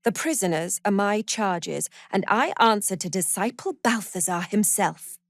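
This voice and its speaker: A woman performs a haughty female prison warden from a fantasy game, a zealous cultist with a cruel streak. She speaks slowly and deliberately, with condescending menace in every phrase. Her mid-pitched voice is captured very dry, with no room sound. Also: no room sound, natural voice. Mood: cold, condescending. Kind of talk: dramatic fantasy video game acting.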